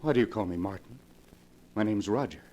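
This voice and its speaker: An actor playing a lovesick, tormented husband under a spell, theatrical and volatile in a 1940s radio horror show. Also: male voice, on the low side, fairly quick, natural voice. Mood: dazed wonder. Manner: theatrical, swinging from hushed to frantic. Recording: noisy vintage 1940s radio recording.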